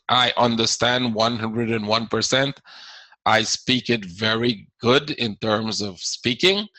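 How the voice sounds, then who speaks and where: A man's voice: lowish voice, pushed and bright, no echo, low-quality recording. A man with a Caribbean accent hosting a live trading webinar, answering viewer questions in a casual explaining manner with frequent repeated words.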